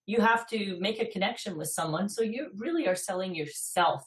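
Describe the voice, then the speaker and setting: Hoarse woman, slightly off mic. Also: mid-range voice, lively intonation, a little fast, far from the mic. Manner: coughs now and then, agreeable interjections. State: hoarse, recovering from losing her voice. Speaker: salon business consultant, co-host. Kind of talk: casual webinar chat.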